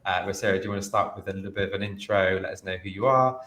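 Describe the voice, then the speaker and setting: A man's voice: low-pitched, very fast, bright, forceful tone, slight background noise. A young man hosting an online careers webinar, chatty and upbeat, with frequent ums and restarts. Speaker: host.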